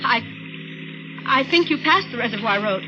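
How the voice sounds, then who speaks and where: A woman's voice: on the high side, lively intonation, normal speed, over music, lightly acted. An actress playing an anxious, frightened woman traveler in a 1950s radio drama, breathless, hesitant and pleading. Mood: nervous. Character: anxious, frightened woman, stranded late-night cab passenger. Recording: dull, very noisy old radio recording.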